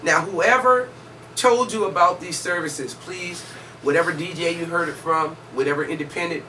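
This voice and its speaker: A woman giving an informal, emphatic promotional pitch, insistently checking in with the listener as she explains services. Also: moderate pace, pushed and bright. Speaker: music promotion company chief pitching services. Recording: distant mic, faint background noise.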